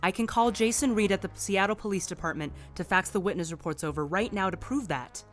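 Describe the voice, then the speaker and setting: A woman's voice: medium pitch, fairly quick, soft background music, lightly acted. A woman playing an earnest, uneasy investigator in an audio drama, speaking in an insistent, questioning way. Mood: insistent disbelief.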